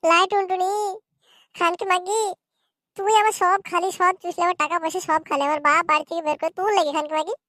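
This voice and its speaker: A brash young son in a comedy cartoon, with an extremely high voice and very quick delivery. He is quarrelsome and cheeky, given to whining, and sounds dry and close. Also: fairly even intonation, bright, forceful tone, dry recording. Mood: indignant.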